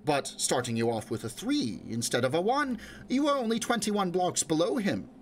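Glib audiobook rogue, smooth and evasive, voiced by a man, lively rising tone. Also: high voice, normal speed, faint music behind, voice pushed up. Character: smooth-talking roguish male quarry worker. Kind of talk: audiobook character voice.

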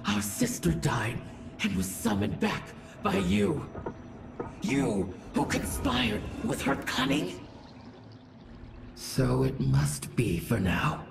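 A woman playing a vengeful, grieving alien war goddess in a video game, delivering a grandiose, theatrical monologue in a rasping voice. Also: low voice, heavily acted. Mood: solemn, brooding. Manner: grandiose, slow, theatrical, swinging from whispers to shouts. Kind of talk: dramatic game audio-log monologue. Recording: echoey, distant voice with music underneath.

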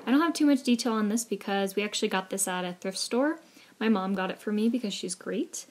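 A young woman in her twenties talks in a relaxed, chatty way, walking through her outdoor clothing piece by piece. Her voice sits at an ordinary middle pitch for a woman. The tone stays casual and upbeat throughout. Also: fairly quick. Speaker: vlogger. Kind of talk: voice-over gear walkthrough.

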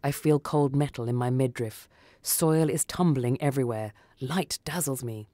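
A woman reading a short story for radio in a theatrical, deliberate, dramatic narrating style. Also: very deep voice, dark tone, no room sound.

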